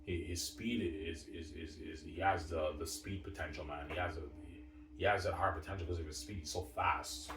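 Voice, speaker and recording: A man with a deep voice rambles casually and boastfully, circling back over the same phrases. He starts phrases with emphatic bursts and lets them trail off. The recording is echoey and a little distant from the mic, with faint noise. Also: fairly even intonation, fairly quick. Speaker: vlogger. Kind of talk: rambling casual hockey talk.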